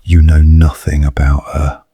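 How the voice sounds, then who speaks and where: A man's voice: a bass voice, completely flat delivery, unhurried, dark tone, husky, no echo, heavily acted. A man playing a protective, firm character with a simmering temper in an audio drama, terse and tight-voiced. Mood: controlled fury.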